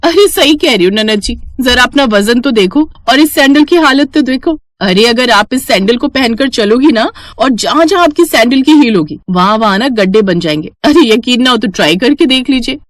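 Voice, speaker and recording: A woman voicing a sharp-tongued, teasing young sister-in-law in a cartoon story, with a mocking, needling delivery. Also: a little high, lively intonation, moderate pace, few pauses, dry recording, pitched above the performer's own voice, lightly acted.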